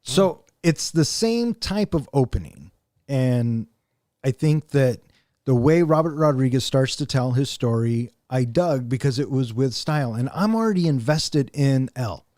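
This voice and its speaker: Man, movie podcast co-host, warm mellow tone, enthusiastic, joking, dry studio sound. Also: mid-range voice, animated, unhurried, no room sound. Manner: enthusiastic, joking, swears playfully. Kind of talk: casual movie review chat.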